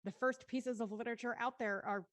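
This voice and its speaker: A woman's voice: on the high side, steady tone, brisk, dry recording. A woman hosting a casual live online discussion, talking in an enthusiastic, wordy way as she thinks aloud. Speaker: host of a live web show after-talk. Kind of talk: casual chat, moderating a discussion.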